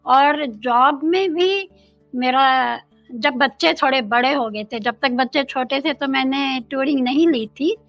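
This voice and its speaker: An older woman from India, a guest in an interview, speaking in a slow, thoughtful way and mixing in English phrases. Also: sits high, no echo, highs cut off, soft background music.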